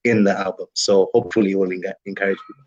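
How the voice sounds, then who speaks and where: Man, French native, from DR Congo, lowish voice, thoughtful interview guest, lots of "you know". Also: little pitch movement, very fast, husky, highs cut off. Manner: thoughtful, many "you know" fillers and restarts. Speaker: guest musician and worship leader. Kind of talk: reflective interview talk.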